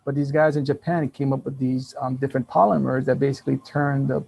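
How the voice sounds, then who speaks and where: A fifty-year-old man whose first language is Taiwanese, talking as a guest in a relaxed interview. His voice is dark and husky with a gravelly edge. He tells stories with animated energy, restarting phrases and laughing as he goes. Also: medium pitch, a little fast. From Taiwan and Hawaii. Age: 50s. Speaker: guest, business owner. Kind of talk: casual interview talk.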